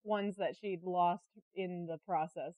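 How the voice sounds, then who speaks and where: A woman's voice: fairly low pitch, slow, dry recording, highs cut off. A woman being interviewed, telling stories in a casual, chatty and giggly conversational way. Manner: giggly, chatty, animated storyteller. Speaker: interview guest, creative director and artist.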